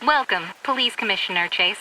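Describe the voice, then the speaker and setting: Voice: medium pitch, animated, fairly quick, close to an everyday voice. A woman voicing an automated security scanner in an audio drama, making polite, procedural announcements over noise. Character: biometric security scanner announcing scan results.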